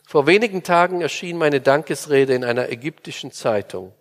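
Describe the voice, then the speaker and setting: A man's voice: a little high, a little fast, big-room sound, natural voice. A man reading the lines of a bitter, brooding exiled male poet in an audiobook.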